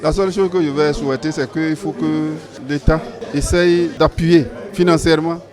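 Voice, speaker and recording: A man gives an interview statement in a somewhat high voice. The noise of a crowd is clearly audible behind him. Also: fairly even intonation, normal speed.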